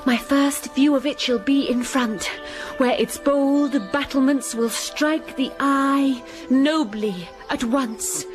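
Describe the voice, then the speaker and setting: An actress playing a young English governess, narrating in the first person with measured, wistful delivery over music. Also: female voice, high voice. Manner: measured, wistful, rising to urgent. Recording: music under the voice.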